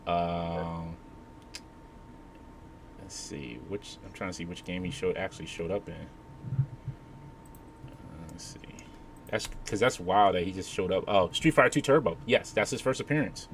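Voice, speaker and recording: A man's voice: deep voice, moderate pace, long pauses, slight background noise. A man chatting casually and informally as a panelist at a live event. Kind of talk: casual chat. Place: convention panel room.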